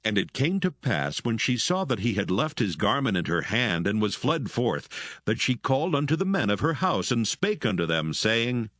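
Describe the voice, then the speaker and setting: A man narrating dramatised scripture in a solemn, measured manner. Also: mid-range voice, big pitch swings, fairly bright tone, dry recording, clean studio sound.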